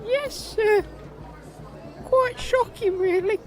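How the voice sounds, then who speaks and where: A woman's voice: very high-pitched, takes it slowly, a faint crowd. A woman playing a meek, loyal, hesitant boy squire in a comedic fantasy audio drama. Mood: meek, earnest. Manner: polite, hesitant, deferential.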